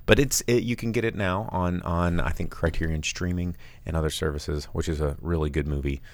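A man hosting a casual chat show, rambling and animated, with sudden loud bursts in a big dad voice. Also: low voice, brisk, dry recording. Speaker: podcast host and bar owner.

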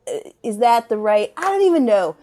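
A woman playing an unimpressed slacker student of magic, griping in halting, slangy speech in an improvised comedy sketch. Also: on the high side, sing-song, mellow. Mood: sardonic, griping.

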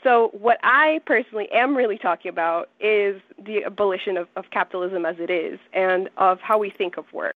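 A woman's voice: mid-range voice, brisk, few pauses, dry recording. Brazilian-born woman journalist, thoughtful interview guest on a phone line. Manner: earnest, thoughtful, building arguments with frequent restarts. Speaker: journalist and researcher. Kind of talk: interview answer.

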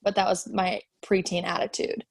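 A woman's voice: lowish voice, little pitch movement, moderate pace, breathy edge, no echo. A woman in her twenties co-hosting a podcast in casual chat, blunt and teasing, laughing often. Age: 20s. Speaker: podcast co-host.

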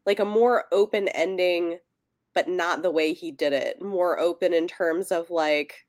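Lower-voiced woman chatting casually, dry close sound. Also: measured pace, a little mellow, no room sound. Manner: hesitant, self-correcting, tentative phrasing. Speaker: podcast co-host. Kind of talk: casual book discussion.

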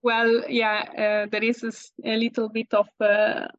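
A woman in her thirties with an Italian accent, answering interview questions in halting English with many restarts. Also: on the high side, monotone, no room sound, dull audio. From Italy. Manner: halting, many restarts and fillers, laughs often. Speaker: guest, landscape photographer.

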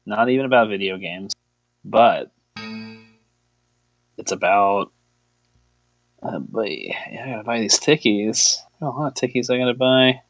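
A man's voice: lowish voice, animated, measured pace, pauses often, dry recording, faint music behind, rough recording. A man co-hosting a podcast in casual chat, joking, teasing and rambling as he goes. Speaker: podcast co-host.

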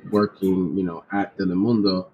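A young man from New Jersey, a filmmaker guest on a podcast, talking casually in a relaxed, rambling way full of "you know" and "like". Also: sits low, moderate pace, highs cut off, a little hiss or hum. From New Jersey, USA. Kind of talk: casual interview chat.

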